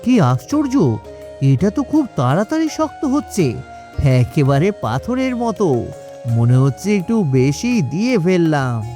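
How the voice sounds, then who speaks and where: A man's voice: on the high side, very animated, unhurried, warm tone, faint music behind. A man voicing a sly, boastful swindling salesman in a children's cartoon, brash and wheedling. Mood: surprised, scheming. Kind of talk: cartoon story voice acting.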